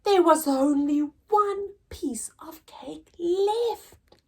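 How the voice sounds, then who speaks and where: A woman's voice: very high voice, very slow, warm tone. A woman reading a children's story aloud as a story-time teacher, dramatic and addressed to young listeners. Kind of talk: expressive children's read-aloud.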